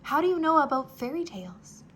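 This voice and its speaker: A woman voicing a small, gentle male mouse who is earnest and lovestruck, speaking softly. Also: high-pitched, sing-song, a little fast, a little hiss or hum, voice pushed up.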